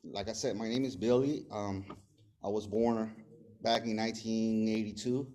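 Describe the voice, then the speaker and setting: A man in his forties with a Salvadoran Spanish accent speaks at a recovery meeting, nervous and halting. His voice sits fairly low and has a dark, mellow tone. He fills his sentences with fillers and restarts as he feels his way through the story. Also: fairly even intonation. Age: 40s. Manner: hesitant, many fillers and restarts. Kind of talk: personal recovery testimony.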